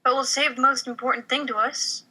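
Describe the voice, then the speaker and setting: A woman's voice: sits high, fairly even intonation, fairly quick, pushed and bright, husky. A woman reading lines casually in an amateur comedy table read. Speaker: cast member in a table read.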